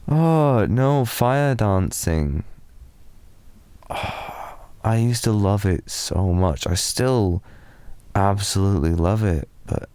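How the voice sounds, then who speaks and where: A young British man improvising a sleepy boyfriend ASMR roleplay, softly rambling and slipping into whispers. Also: fairly low pitch, animated, slow, smooth, no room sound. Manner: soft, drowsy, rambling with slips into whispers. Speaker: ASMR performer.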